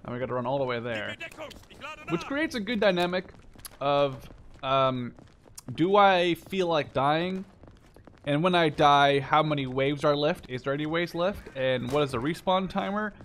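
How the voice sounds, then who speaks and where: A man's voice: a little high, animated, a little slow, a bit bright, slight background noise. A man in his twenties giving casual, rambling live gameplay commentary, often correcting himself and swearing offhandedly. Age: 20s. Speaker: gameplay video host. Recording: game gunfire and clicking behind voice. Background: video game gunshots, machine guns, clicks.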